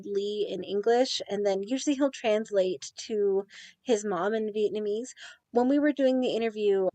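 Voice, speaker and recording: A young woman presenting a school assignment report in a steady, earnest way. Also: on the high side, no echo. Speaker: student presenter. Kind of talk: reading a class report.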